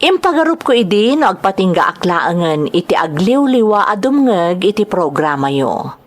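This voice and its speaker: A woman with a Filipino accent, a program host and storyteller, reading aloud to her listeners. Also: fairly low pitch. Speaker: host and storyteller of a drama channel. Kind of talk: reading greetings and a listener's letter.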